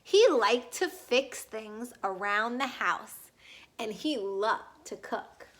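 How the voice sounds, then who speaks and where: Very animated, high-voiced woman reading to children. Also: very noisy. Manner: animated, emphatic, drawn-out key words. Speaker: storybook reader. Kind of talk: expressive read-aloud for young children, with question asides.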